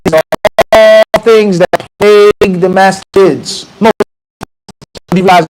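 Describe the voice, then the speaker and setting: Animated high-voiced American mosque teacher. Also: male voice, takes it slowly, soft background music, poor audio. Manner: animated, conversational, quotes Arabic passages. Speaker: mufti teaching a class. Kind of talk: mosque lecture. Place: small mosque room with bookshelves. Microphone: handheld mic close to mouth.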